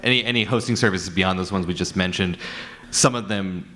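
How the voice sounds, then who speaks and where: A man's voice: low voice, fairly quick, bright, forceful tone. A man offering unscripted, cautioning advice as a panelist, picking his words as he goes. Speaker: panelist and podcaster. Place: convention panel room.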